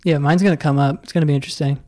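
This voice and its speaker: A man's voice: a little high, steady tone, racing, a little mellow. A man in his twenties co-hosting a podcast, talking casually in a loose, rambling way with frequent restarts. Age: 20s. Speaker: podcast co-host. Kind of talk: casual chat.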